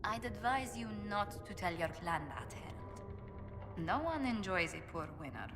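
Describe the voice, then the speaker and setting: A woman's voice: mid-range voice, very animated, moderate pace, long reverb tail, over music, natural voice. A woman playing a diplomatic, concerned ambassador in a fantasy video game, polite, formal and careful with words. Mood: tactful. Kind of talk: dramatic video game dialogue.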